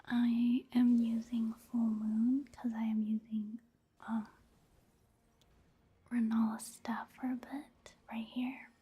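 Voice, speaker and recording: A woman giving soft-spoken ASMR commentary over gameplay in a breathy, hushed whisper, unhurried. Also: a little high, flat delivery. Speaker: ASMR video creator narrating her gameplay.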